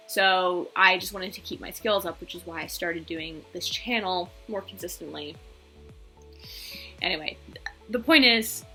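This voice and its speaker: Casting: American woman, 20s, casual vlog host, chatty, bright forward tone, faint music underneath. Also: medium pitch, poor audio. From England, United States and Belgium. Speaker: video channel host. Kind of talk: casual personal vlog talk.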